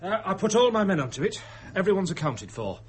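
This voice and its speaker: A man's voice: high voice, very animated, brisk, slight background noise. An actor playing a deferential but firm ship's crewman making a report in a radio drama. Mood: dutiful, insistent.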